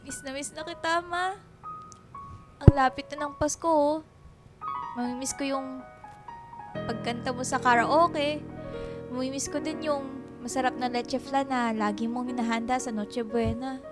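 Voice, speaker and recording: An actress playing an affectionate, cheeky younger sister who is still a student, in a girlish, expressive radio drama manner. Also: sits high, measured pace, long pauses, music in the background. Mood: tearful longing.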